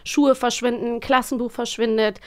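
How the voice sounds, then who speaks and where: A woman's voice: a little high, flat delivery, moderate pace, darkish tone. A young woman chatting informally and enthusiastically as a podcast co-host. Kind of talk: casual podcast chat about children's audio dramas.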